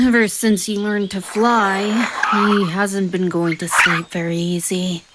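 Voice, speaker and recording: A woman's voice: medium pitch, takes it slowly, very noisy, natural voice. A woman playing a playful, easily flustered young male bard and doting single dad in a fan audio roleplay, speaking expressively with exasperated affection. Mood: teasing, affectionate. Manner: expressive, exasperated yet affectionate, sighs and chuckles.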